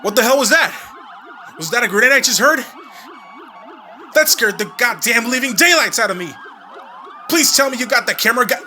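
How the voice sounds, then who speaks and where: A man's voice: very high-pitched, animated, normal speed, pauses often, sharp tone, faint music behind, heavily acted. A man playing a field news reporter, reporting hurriedly from the scene. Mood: panicked. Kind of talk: staged phone-in news report. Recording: sirens blaring behind. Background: police sirens.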